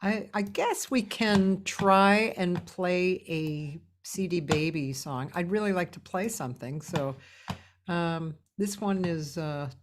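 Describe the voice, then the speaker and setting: A woman hosting an interview over a video call, talking in an unhurried way with frequent laughter. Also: lowish voice, noisy background. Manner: unhurried, warm laughter, trailing pauses. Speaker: host. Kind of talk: casual interview chat.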